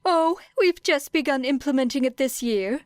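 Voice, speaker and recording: A woman's voice: high-pitched, moderate pace, no room sound, clean studio sound, voice pushed up, lightly acted. A woman voicing a courteous, shrewd, upbeat animal school principal in an audiobook, with polite, formal phrasing. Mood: gleeful, triumphant. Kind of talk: acted audiobook dialogue.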